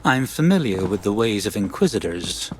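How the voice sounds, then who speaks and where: A man's voice: on the low side, sing-song. A man playing a dignified, shrewd and courteous senior churchman in a video game, speaking formally and without hurry. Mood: courteous, knowing. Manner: unhurried, formal, stately. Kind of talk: video game character acting. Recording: echoing hall, faint background noise.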